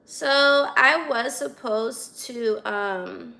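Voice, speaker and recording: Raspy young American woman, casual livestreamer. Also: fairly high pitch, lively intonation, drawn-out words, sharp tone. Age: 20s. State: slightly hoarse voice. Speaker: livestream host.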